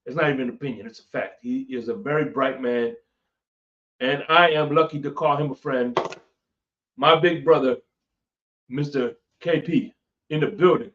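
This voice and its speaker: A man's voice: medium pitch, normal speed, long pauses, fairly bright tone. A man hosting a casual podcast, talking in an enthusiastic, laid-back way full of slang. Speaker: podcast host. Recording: echoey, distant, dull home mic.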